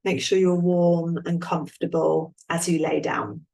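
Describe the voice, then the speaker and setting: A woman leading a guided relaxation as an instructor, speaking in a calm, soothing way with long, unhurried pauses. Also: on the low side, vocal fry.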